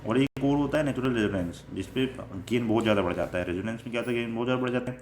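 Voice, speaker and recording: A man's voice: medium pitch, rapid-fire, a little mellow, slight background noise. A man with an Indian accent giving an explanatory electronics lecture, going step by step, repeating points and mixing in English technical terms. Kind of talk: explanatory lecture, reading definitions then paraphrasing them. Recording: echoey room sound.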